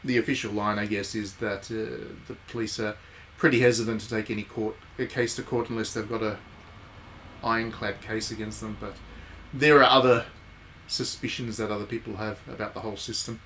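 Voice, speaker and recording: Male streamer, lowish voice, casual playthrough commentary, dry chatty asides, slight background noise. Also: flat delivery, fairly quick, fairly bright tone, poor audio. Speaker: game streamer, host. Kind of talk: casual live playthrough commentary, reading game text aloud.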